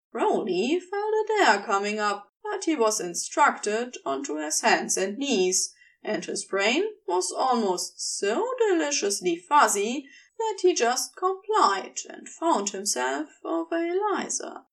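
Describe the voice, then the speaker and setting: Slow, animated, high-voiced woman reading fiction. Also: distant mic. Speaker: podfic reader. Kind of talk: expressive fiction narration, audiobook reading.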